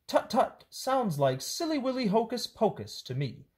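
A woman voicing a fussy, brisk male school principal who speaks in singsong silly phrases. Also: a little high, very animated, darkish tone, voice pushed up. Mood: puzzled, dismissive.

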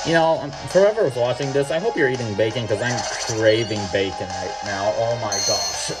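A young man chatting loosely as he plays, wandering from topic to topic and cursing without much thought. His voice sits at an ordinary middle pitch. Loud game music plays under everything he says. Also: moderate pace, rough recording. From Mexico. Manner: rambling, off-topic, swears freely. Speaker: gaming YouTuber. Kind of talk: casual let's-play commentary.

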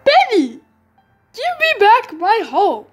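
Woman playing an excitable high-pitched little hermit crab boy. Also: slow pace, loud music behind the voice, heavily acted. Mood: upset. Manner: exclaiming, emphatic. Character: young male hermit crab, excitable and plucky. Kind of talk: children's storybook character.

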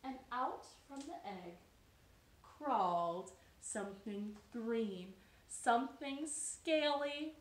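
A woman's voice: a little high, expressive, very slow. A young adult woman, a children's storyteller, giving a storytime for young children. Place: living room, indoors. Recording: roomy living-room sound.

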